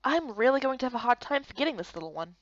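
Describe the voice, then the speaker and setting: A woman giving an expressive voice-over reading of a visual novel story in character. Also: on the high side, fast, no room sound, lo-fi sound.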